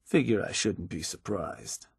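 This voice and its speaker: Husky, laid-back raider fixer. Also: male voice, deep voice, animated, unhurried, no room sound, studio quality, full character voice. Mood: businesslike, casual. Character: laid-back, businesslike raider gang fixer advising his boss. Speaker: video game voice actor. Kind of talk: in-game character dialogue, conversational delivery.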